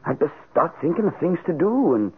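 A brooding young war veteran. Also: male voice, fairly high pitch, sing-song. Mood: dazed. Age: young adult. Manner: earnest, halting, stammering. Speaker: former whaler. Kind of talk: 1940s radio acting. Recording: tinny noisy old radio recording.

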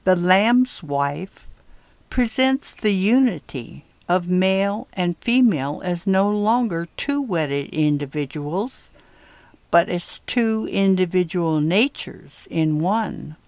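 Female reader for religious essays, very unhurried and measured, dry studio-like sound. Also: fairly low pitch, animated, a little mellow, no echo. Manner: measured, deliberate phrasing. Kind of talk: scripted read-aloud of a religious essay.

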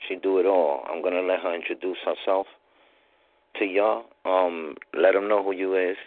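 A man hosting an interview, asking casual questions in a halting way with many ums and restarts. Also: low voice, steady tone, dry recording. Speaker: interview host. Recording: dry, tinny phone-like sound.

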